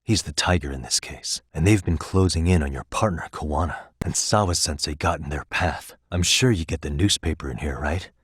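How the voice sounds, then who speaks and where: A determined American private detective in a dramatic video game. His voice is deep with a husky edge, recorded close and dry. He speaks in a measured, probing way, sharp and dryly pointed. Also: no echo. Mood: grave, pressing.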